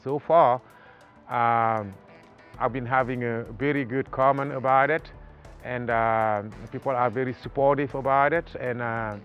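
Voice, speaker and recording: A man from Senegal with a Senegalese accent, talking haltingly about his art in a documentary interview, with many pauses and fillers. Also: mid-range voice, steady tone, lo-fi sound, soft background music. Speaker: artist.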